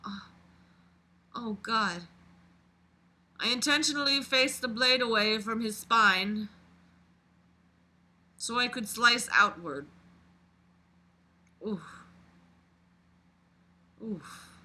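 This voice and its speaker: A mid-pitched reader with a very bright, sharp voice. The delivery is a dramatic read-aloud, expressive and exclamatory, broken up by mocking, sweary asides. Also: drawn-out words, pauses often. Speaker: reader and commentator.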